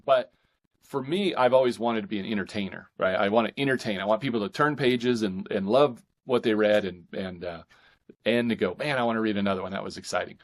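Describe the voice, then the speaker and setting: A man with a fairly low voice talks as a guest author in a casual interview. His phrasing is thoughtful and halting, feeling its way through each answer.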